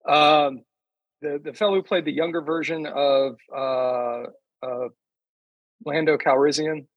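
A man speaking as an author guest in a casual chat, rambling haltingly as he searches for words. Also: on the high side, fairly even intonation, no room sound, dull audio.

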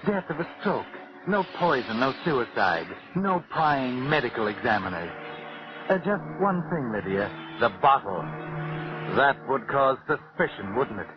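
An actor playing a sinister, all-knowing narrator of a 1940s radio suspense show, insinuating and mocking. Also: male voice, medium pitch, big pitch swings, unhurried. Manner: insinuating, theatrical. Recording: muffled noisy old radio broadcast.

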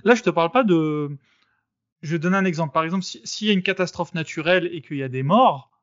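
A man hosting a podcast, arguing in a casual debate and often pausing with fillers and restarts. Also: fairly high pitch, a bit bright, no room sound, muffled recording. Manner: hesitant, many fillers and restarts. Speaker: podcast host.

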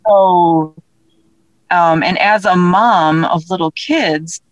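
A woman's voice: fairly low pitch, slow, no room sound, lo-fi sound. A woman speaking casually as an interview guest, chatty and self-deprecating as she talks about her own work as an author. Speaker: interview guest, author and audio drama creator.

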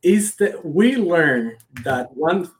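A man's voice: on the high side, expressive, takes it slowly. A man from Cuba with a Cuban accent, a native Spanish speaker, answering interview questions with earnest enthusiasm. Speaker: guest, startup founder.

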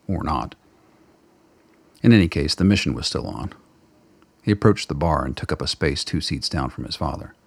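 Very deep, husky male narrator. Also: no room sound. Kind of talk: scripted radio play narration.

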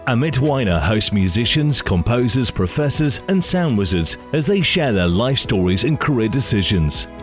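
A man's voice: mid-range voice, very animated, moderate pace, hardly any pauses. A man reading a scripted podcast intro in a hyped, promotional manner. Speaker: show announcer. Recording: voice over loud music.

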